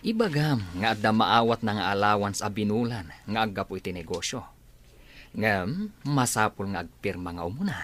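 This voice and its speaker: A man's voice: lowish voice, lively intonation, moderate pace, a bit bright, slight background noise. Man as a sly schemer with swooping delivery. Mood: scheming. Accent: Filipino, Ilocano. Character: sly, calculating accomplice in a swindle. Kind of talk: Ilocano audio drama acting.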